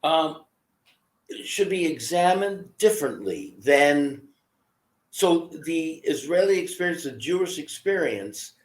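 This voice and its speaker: An older man hosting an interview over a video call from his home, asking halting, emphatic questions. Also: fairly high pitch, very animated. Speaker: host. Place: home room with bookshelves. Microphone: video call webcam. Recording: roomy video call audio.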